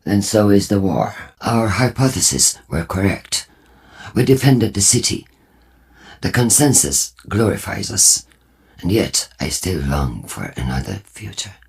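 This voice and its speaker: An actor voicing a solemn, prophetic war cult leader in a video game, speaking formally and deliberately with a husky voice. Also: male voice, sits low, sing-song, exaggerated voice. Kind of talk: scripted video game character dialogue.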